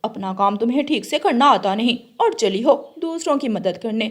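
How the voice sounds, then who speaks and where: A rich and cruel lady bird from a cartoon , acted out by a woman. She sounds haughty and high, ordering and scolding with open contempt. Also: expressive, moderate pace. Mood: scornful.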